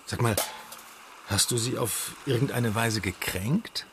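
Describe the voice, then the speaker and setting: An actor playing a shrewd, teasing sleuth who probes and needles in a German radio crime drama. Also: male voice, mid-range voice, measured pace, heavy background noise, close to an everyday voice. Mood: teasing, probing. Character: shrewd, teasing male amateur sleuth called Hank.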